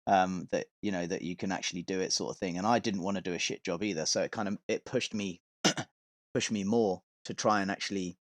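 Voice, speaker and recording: A man's voice: low voice, steady tone, fairly quick, dry recording, clean studio sound. A man chatting casually as a podcast guest, telling stories in an informal, rambling, sweary way. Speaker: podcast guest, touring metal vocalist and tour manager.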